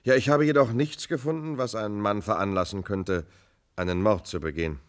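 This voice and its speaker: A male actor plays a police lieutenant in a radio crime play. He has a low voice and reports in a matter-of-fact way, patiently laying out details as a subordinate would. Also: fairly quick, close to an everyday voice. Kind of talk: German radio crime drama acting.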